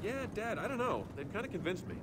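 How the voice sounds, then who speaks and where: A man's voice: sits high, very animated, fast talker, very noisy. A young man playing a cocky, sarcastic soldier in a video game, with a wry, casual delivery. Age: young adult.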